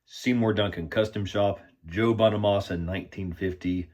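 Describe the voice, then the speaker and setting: A man's voice: fairly low pitch, steady tone, moderate pace, darkish tone. A man giving a casual product review and demo as a guitar gear reviewer.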